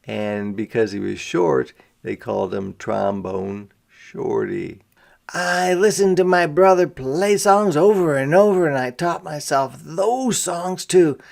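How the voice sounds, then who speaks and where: Slow, slightly high-voiced man reading aloud. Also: vocal fry. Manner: dramatic, deliberate delivery with emphasized character lines. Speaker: read-aloud teacher for young children. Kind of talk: expressive picture-book read-aloud with simple explanatory asides.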